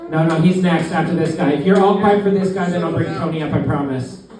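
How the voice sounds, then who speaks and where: High-voiced man emceeing in an echoey club. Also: a little fast. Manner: coaxing the crowd, announcing. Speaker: open-mic host. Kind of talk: stage introduction. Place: small comedy club, in front of a live crowd. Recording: very echoey club, distant mic, crowd.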